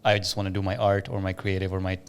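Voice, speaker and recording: Lowish-voiced male podcast host. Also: completely flat delivery, fast, clear, resonant tone. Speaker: podcast host, business owner. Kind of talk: casual conversational interviewing.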